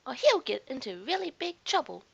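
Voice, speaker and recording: A woman's voice: sits high, sing-song, normal speed, creaky, fairly bright tone, pitched above the performer's own voice, heavily acted. A woman voicing a stern adult lioness in a storybook, firm and admonishing. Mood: stern warning. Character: stern adult lionesses warning and instructing about cubs.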